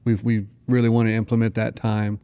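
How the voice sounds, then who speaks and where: A man's voice: on the low side, little pitch movement, brisk, mellow, clear, resonant tone. An American man in his thirties talking casually and earnestly as a parent, often dropping in "you know". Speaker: parent sharing family testimony. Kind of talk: casual conversational testimony.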